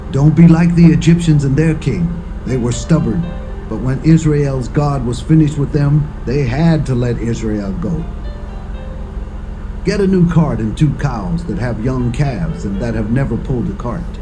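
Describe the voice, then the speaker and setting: A male actor plays a solemn Philistine elder in an audio Bible drama. His counsel is declamatory and instructive, delivered at a middling pitch. Music plays clearly behind him. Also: close to an everyday voice, low-quality recording. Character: ancient Philistine priest or ruler giving solemn counsel.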